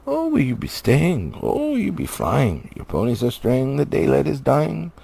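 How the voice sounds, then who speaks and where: Playful storybook elf acted by a man: raised pitch, swooping singsong delivery, teasing. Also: wildly swooping pitch, normal speed, gravelly, dark tone, husky, heavily acted. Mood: merry singing. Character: merry, teasing, singing male elves of the woods. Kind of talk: storybook character voice.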